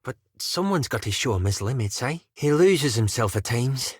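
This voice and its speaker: A man's voice: medium pitch, expressive, normal speed, breathy edge, dry recording, clean studio sound. A man doing a cheeky elf lass, swooping lilt. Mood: mischievous. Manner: folksy, teasing dialect speech. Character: cheeky, brash, earthy elf lass, teasing and bold. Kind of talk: scripted fantasy video game dialogue.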